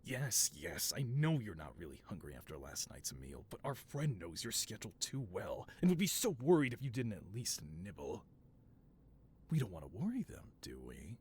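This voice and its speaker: Casting: male actor as affable mad scientist villain for audio roleplay, lowish voice, swooping delivery, grandiose yet devoted. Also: wildly swooping pitch, moderate pace, slight background noise. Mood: cheerful, coaxing. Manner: doting, theatrical, softly reassuring then grandiose. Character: affable, theatrical supervillain mad scientist boss, devoted to assistant. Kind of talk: scripted audio roleplay, one-sided conversation.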